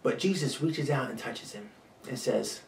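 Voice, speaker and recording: A man speaking as a pastor in an earnest, measured devotional sermon, repeating key phrases with pauses. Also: medium pitch, creaky, slight background noise. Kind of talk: short devotional sermon talk. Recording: roomy echo, distant microphone.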